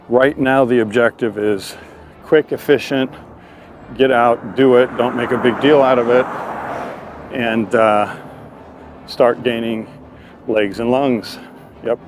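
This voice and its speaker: A man hosting a casual walking vlog outdoors, talking in an informal, rambling, stop-and-start way. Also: on the low side. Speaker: host of his own vlog. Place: outdoors on a walk by a road.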